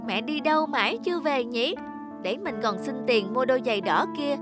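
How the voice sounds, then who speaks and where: Cartoon young boy: high, emotive, fretful childlike delivery over a music bed. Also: lively intonation, normal speed. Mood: grumbling. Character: young boy, whiny, fickle, then remorseful. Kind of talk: cartoon dubbing. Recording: music behind the voice.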